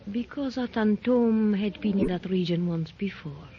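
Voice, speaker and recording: An actress playing a nervous young woman hiding a secret in a 1930s radio drama, hesitant and breathy. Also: mid-range voice, moderate pace, natural voice. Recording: dull lo-fi 1930s radio recording with faint hiss.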